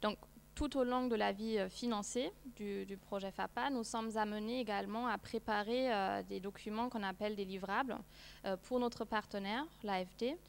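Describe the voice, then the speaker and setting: A woman's voice: mid-range voice, fairly even intonation, normal speed, gravelly, slight background noise. A woman in her thirties, a non-native French speaker, presenting a research project at a seminar, with many fillers and restarts. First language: not French. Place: seminar room, seated at a long table.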